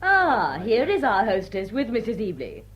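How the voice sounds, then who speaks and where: A gushing, commanding English society woman in a drawing-room drama. Her voice is husky and mid-pitched, with theatrical swoops and an upper-class accent. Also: wildly swooping pitch, moderate pace, muffled recording. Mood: effusive. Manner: theatrical, effusive. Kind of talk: period drawing-room radio drama acting.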